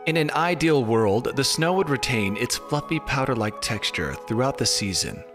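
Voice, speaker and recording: A man reading scripted, mock-documentary narration in a deadpan, tongue-in-cheek formal way. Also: mid-range voice, normal speed. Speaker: narrator of a skiing explainer video. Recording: music bed under voice-over. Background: music.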